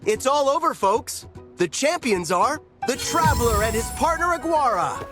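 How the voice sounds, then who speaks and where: An actor playing an excited, theatrical tournament commentator calling a match in a video game. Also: male voice, very high voice, normal speed. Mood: dramatic, excited. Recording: music behind.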